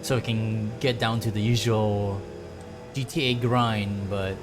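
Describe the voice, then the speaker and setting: A man's voice: on the low side, little pitch movement, unhurried. A man giving casual livestream gameplay commentary, rambling and thinking aloud. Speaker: game streamer. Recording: music behind the voice. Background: game music.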